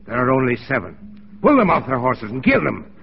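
An actor playing a villainous, imperious rebel leader inciting war, harsh and gravelly, in a 1940s radio drama. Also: male voice, mid-range voice, wildly swooping pitch, fairly quick, full character voice. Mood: demanding. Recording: dull vintage radio recording with music.

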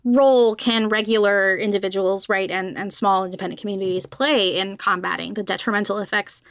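A woman's voice: mid-range voice, fairly even intonation, a little fast, fairly bright tone, dry recording. A woman hosting a casual interview, speaking informally and supportively as she responds to a guest. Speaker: podcast host, interviewer.

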